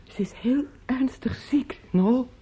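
An actress in a Dutch radio play playing an anguished, talkative female relative who breaks terrible news, theatrical, halting and pleading. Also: high-pitched, mellow, husky, heavily acted. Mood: grave, distressed. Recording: muffled, noisy old radio play recording.

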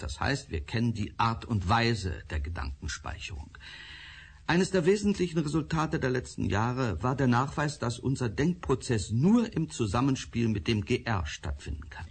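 An actor playing an eminent male professor, speaking in a measured, authoritative way with a faintly menacing edge. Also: mid-range voice, very animated, slightly rough, full character voice. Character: eminent older male scientist, proud and guarded. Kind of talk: radio drama acting.

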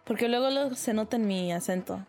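Woman co-host from Mexico, easygoing, quick to laugh, switches between Spanish and English. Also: medium pitch, darkish tone, soft background music. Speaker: podcast co-host. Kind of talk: casual chat.

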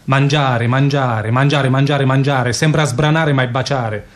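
An earthy, fervent male meat seller , played by an actor. He declaims in a middle-pitched voice, hammering phrases through repetition in a theatrical radio play style. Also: fairly even intonation, unhurried, lightly acted.

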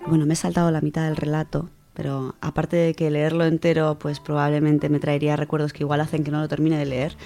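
A woman's voice: deep voice, completely flat delivery, fast, few pauses, warm tone, soft background music. A woman with a Spanish accent from Spain, chatting casually as a contributor to a radio book segment. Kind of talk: casual chat.

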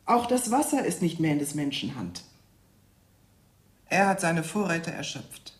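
A woman's voice: on the low side, big pitch swings, a little slow. An actress playing a grave, cryptic female doomsayer in a radio drama, formal and solemn. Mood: ominous. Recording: echoey room with faint music.

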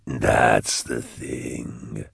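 Slow, whispering, bottomless-deep male demon. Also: level tone, creaky, slightly rough, dry recording, studio quality, full character voice. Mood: smug. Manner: slow, drawn-out, insinuating whispery delivery. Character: hovering male demon host, huge-voiced, mockingly friendly and sly.

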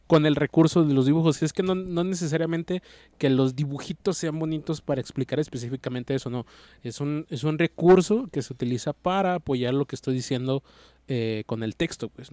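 A man's voice: fairly high pitch, mellow, no echo. A man in casual literary discussion, searching for words and restarting his sentences. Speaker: book club podcast co-host.

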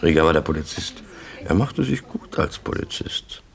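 Calm scary mob boss in a german radio crime play, super deep creaky voice, short orders. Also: male voice, exaggerated voice. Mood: dryly nostalgic. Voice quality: gravelly. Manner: terse, commanding, deliberate. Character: calm, menacing male crime syndicate boss.

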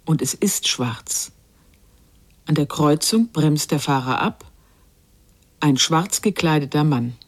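An actress playing a quiet narrator in a literary radio drama, measured and lyrical in her delivery. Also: low-pitched, expressive, slightly rough, exaggerated voice. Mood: quietly tense.

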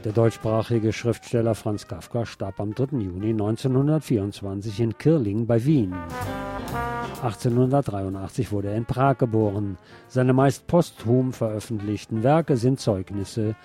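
Man hosting over clearly audible music. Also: on the low side, moderate pace. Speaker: radio host. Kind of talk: reading quotations and commenting between music.

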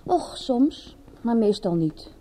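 An actress playing a bored, guilty young fashion model in a radio drama, with a breathy, languid, confiding delivery. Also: female voice, on the high side, big pitch swings, slow pace, warm tone, a little hiss or hum. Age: young adult. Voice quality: husky, breathy. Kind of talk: radio drama acting in Dutch.

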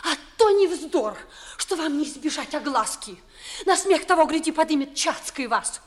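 A woman playing a pert, shrewd young maidservant, brisk and teasing, in a theatrical 1950s radio comedy. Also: high voice, expressive. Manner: brisk, teasing, sharp-tongued.

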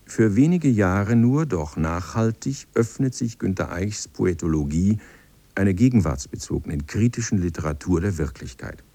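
Deep, mellow male documentary narrator. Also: expressive, no room sound. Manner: measured, literary, precise. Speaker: narrator of a radio feature. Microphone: close studio microphone.

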